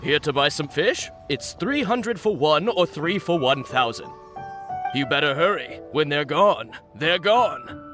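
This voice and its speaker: A man's voice: a little high, expressive, measured pace, pushed and bright, soft background music. An actor playing a wary, plain-spoken male fish seller in a video game, casual, a little hesitant and defensive. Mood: brisk.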